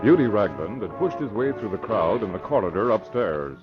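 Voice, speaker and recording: A man's voice: lowish voice, very animated, normal speed, music in the background. A man narrating a crime drama in dramatic 1940s radio style. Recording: muffled old radio recording.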